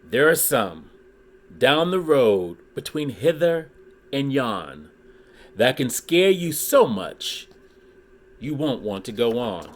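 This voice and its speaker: Slow, animated man reading a storybook. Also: mid-range voice. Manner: deliberate, dramatic phrasing with pauses for emphasis. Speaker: author reading a children's book aloud for a video channel. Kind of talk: expressive storybook read-aloud in rhyme.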